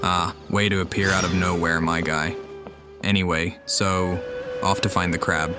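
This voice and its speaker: A man's voice: sits low, flat delivery, normal speed. A man giving casual, musing commentary as he plays a video game. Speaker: gamer commenting on a playthrough. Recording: game music behind the voice.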